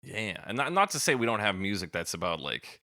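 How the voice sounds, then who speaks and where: Deep voiced guy on a podcast, forward bright tone, slangy, sweary, throws out opinions and questions. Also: very animated, fairly quick, no echo, clean studio sound. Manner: conversational, opinionated, probing with questions. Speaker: podcast co-host.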